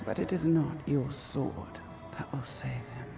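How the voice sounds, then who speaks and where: Slow, seductive spider spirit. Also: female voice, very deep voice, over music, full character voice. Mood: cold, teasing menace. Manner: slow, breathy whispering. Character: seductive, menacing ancient female spider spirit in woman's form. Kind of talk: dramatic fantasy game acting.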